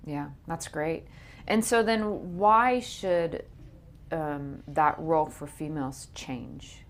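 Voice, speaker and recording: A woman's voice: low voice, slow. A woman hosting an interview, encouraging her guest with frequent brief affirmations. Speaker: interview host. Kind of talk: casual interview chat.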